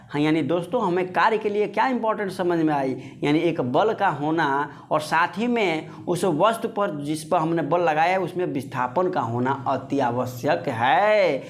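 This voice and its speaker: Higher-pitched man teaching. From India. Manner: conversational, repeats phrases, poses and answers his own questions; addresses viewers as friends. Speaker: physics teacher. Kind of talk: informal explanatory lesson.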